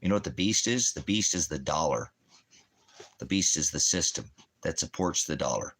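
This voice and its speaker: Deep-voiced man teaching on a livestream. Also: animated, normal speed, fairly bright tone. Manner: blunt, challenging, asks and answers his own questions. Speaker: ministry teacher and livestream host. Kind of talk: rambling livestream Bible teaching and chat with commenters. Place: indoors at home by a fireplace.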